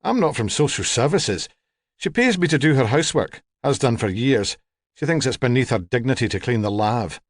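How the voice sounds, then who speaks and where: A man's voice: medium pitch, animated, moderate pace, dry recording, clean studio sound, natural voice. A man voicing a plain-spoken, chatty woman working as a home help, in an audiobook story. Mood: brisk, forthright. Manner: chatty, forthright, unbothered.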